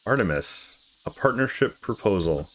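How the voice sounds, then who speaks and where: A man voicing a troubled, candid young visual novel character who confides past heartbreak in a halting, confessional way. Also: fairly low pitch, lively intonation, fairly bright tone. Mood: heavy, vulnerable.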